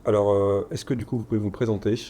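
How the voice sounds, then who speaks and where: A man's voice: fairly low pitch, steady tone, fast, dark tone, a little hiss or hum. A man interviewing a guest, prompting him with a short question.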